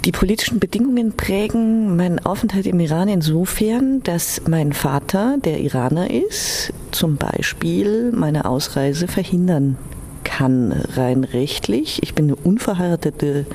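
A woman's voice: lively intonation, unhurried, a little mellow. A woman giving a thoughtful personal interview account, searching for words and now and then repeating them. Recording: clearly noisy with low rumble.

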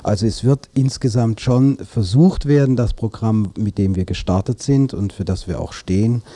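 A man giving spontaneous interview answers as a spokesman, deliberate and often pausing mid-sentence. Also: lowish voice, mellow, dry recording. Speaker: arts association spokesman, interview guest.

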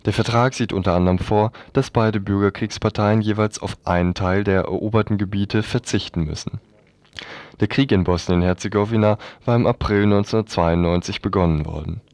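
A man's voice: lowish voice, fairly even intonation, a little fast, darkish tone, no room sound. A man reading scripted radio news in a sober, matter-of-fact manner.

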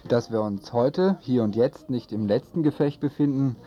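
A man hosting a radio show, introducing it informally with wry, deliberate phrasing. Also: medium pitch. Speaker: radio host. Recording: dull radio recording, faint music.

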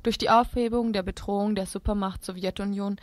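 A woman's voice: medium pitch, fairly even intonation, a little fast, dry recording. A woman presenting a radio feature, reading formal scripted narration.

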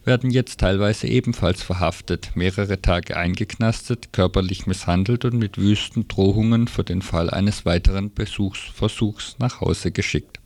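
A man's voice: deep voice, normal speed, few pauses, darkish tone, dry recording. A man presenting radio news, reading a scripted report.

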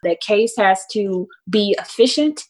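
A woman with an American accent speaking as a panelist, explaining things in a measured way with emphasis on key words. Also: mid-range voice, expressive, darkish tone.

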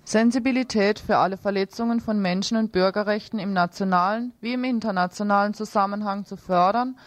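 A woman's voice: medium pitch, fairly even intonation, a little fast, dry recording. A woman co-presenting a radio news programme, reading out reports and announcements.

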